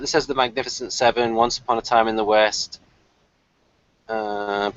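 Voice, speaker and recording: A man's voice: mid-range voice, a bit bright, no echo, highs cut off. A man hosting a casual fan review, chatty and rambling as he thinks aloud. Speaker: host of a TV review discussion.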